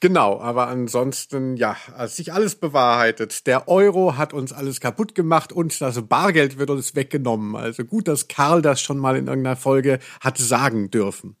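A man hosting a podcast in casual chat, rambling in a halting, wry way. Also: medium pitch. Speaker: podcast host.

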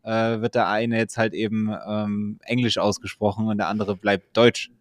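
A man of middle pitch, chatting as a podcast guest in a dry, close-miked sound. He talks in long, rambling runs full of small fillers and laughs. His tone stays loose and friendly. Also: flat delivery, normal speed, no room sound. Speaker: social media manager, guest. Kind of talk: casual podcast chat.

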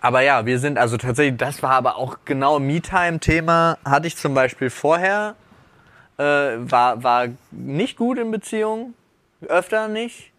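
A man's voice: a little high, normal speed, a bit bright, no echo. A man co-hosting a casual podcast, rambling and breaking off mid-sentence as he tells stories from his own relationships. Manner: rambling, self-interrupting, laughs at his own remarks. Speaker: podcast co-host.

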